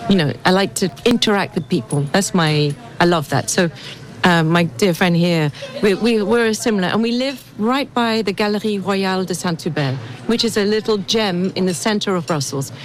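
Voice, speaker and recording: Chatty Englishwoman in her fifties. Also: mid-range voice. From England. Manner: enthusiastic, chatty, with restarts. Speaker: interviewee. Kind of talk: street interview.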